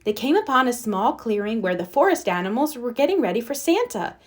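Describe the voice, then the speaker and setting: A woman reading a children's storybook aloud as narrator, with expressive storytelling. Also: on the high side, a little fast. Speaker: storybook reader.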